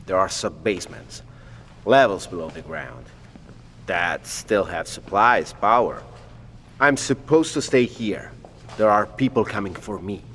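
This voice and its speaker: A man acts out a wary, nervous scientist for an audio drama. His voice sits fairly low, and he picks formal, precise words with some hesitation. Long reverb rings around him like an empty hallway. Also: expressive, unhurried, vocal fry, a bit bright, a little hiss or hum, exaggerated voice. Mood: anxious, subdued. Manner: hesitant, precise, educated phrasing. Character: nervous blind male scientist, formal and wary, stranded alone.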